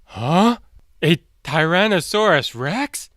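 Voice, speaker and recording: A storyteller voicing a small, excitable, easily frightened young Protoceratops with exaggerated exclamations, in a children's storybook. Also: medium pitch, slow, voice pushed up. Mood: alarmed.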